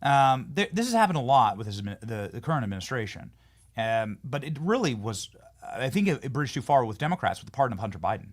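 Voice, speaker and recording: A man's voice: medium pitch, sing-song, quick pace. A man hosting a political interview show, talking emphatically and often repeating words as he builds a point. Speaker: show host.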